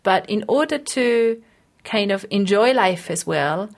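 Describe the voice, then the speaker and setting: A woman's voice: mid-range voice, animated, a little slow. A woman researcher explaining her work in an interview, thoughtfully repeating phrases as she forms her points.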